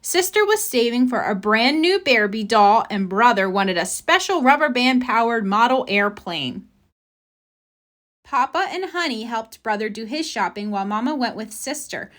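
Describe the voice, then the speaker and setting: High-voiced woman reading a children's storybook. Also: animated, moderate pace, a bit bright. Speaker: storybook reader. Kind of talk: children's picture book read-aloud.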